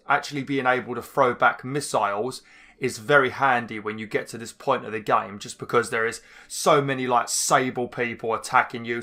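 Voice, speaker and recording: A man hosting a let's-play, slightly hoarse and chatty as he rambles through game menus. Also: medium pitch, pushed and bright. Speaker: gaming channel host. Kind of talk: casual let's-play commentary.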